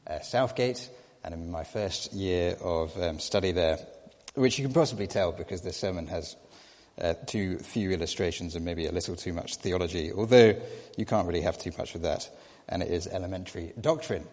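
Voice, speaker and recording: A man's voice: low-pitched, brisk, a little mellow, long reverb tail. A young man giving a sermon as a trainee preacher, hesitant, with many fillers and restarts. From Scotland. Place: church.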